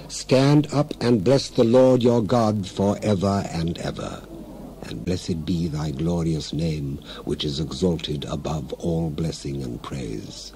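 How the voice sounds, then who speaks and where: Deep-voiced man solemnly reading scripture. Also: warm tone, slight background noise. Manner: declamatory, solemn, deliberate phrasing. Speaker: Bible reader. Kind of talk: dramatized scripture reading, formal narration.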